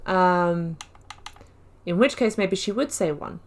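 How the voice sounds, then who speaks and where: Gaming host, female, lowish voice, talks her choices through out loud, casual and rambly. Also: expressive, slow pace. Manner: thinks aloud, rambling, corrects herself mid-sentence; casual and chatty with slangy asides. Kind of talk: let's-play commentary.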